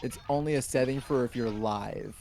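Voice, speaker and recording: A man's voice: medium pitch, moderate pace, creaky, a little mellow. A man chatting casually as co-host of a group stream. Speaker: co-host of a fan fiction reading stream.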